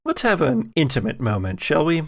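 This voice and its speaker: A man's voice: a little high, huge pitch swings, fairly quick. A man acting as game master, narrating a tabletop roleplaying game with dramatic storytelling and casual asides. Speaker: game master of an actual-play podcast.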